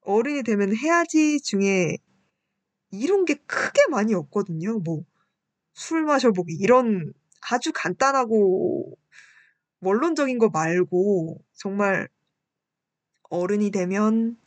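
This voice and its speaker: Female host, mid-twenties, medium pitch. Polite, reflective, self-deprecating personal talk with listeners, dry recording. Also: lively intonation, takes it slowly, dry recording. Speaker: radio-style show host and university student.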